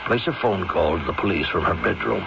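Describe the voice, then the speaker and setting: An actor playing a resolute family doctor with a measured, authoritative manner and an American accent in a 1970s radio drama. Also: male voice, low voice, gravelly. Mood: grave, resolute. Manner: measured, authoritative, repeats himself. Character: resolute male family doctor calmly exposing a crime. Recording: muffled, noisy 1970s radio recording.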